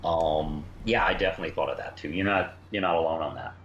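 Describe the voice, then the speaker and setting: A man with a low voice talks casually as a podcast co-host. He rambles, dropping in frequent asides as he goes. The recording is echoey and slightly dull, with a little noise behind him. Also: fast, a bit bright. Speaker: podcast co-host reviewing a fan audio drama. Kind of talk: casual review chat. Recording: echoey, muffled, faintly noisy room.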